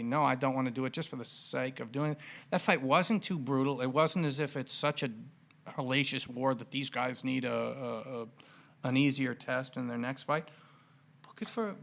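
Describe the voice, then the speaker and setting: Man, mid-range pitch, creaky gravelly voice, casual sports commentary, wry, opinionated podcast host. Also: fairly bright tone.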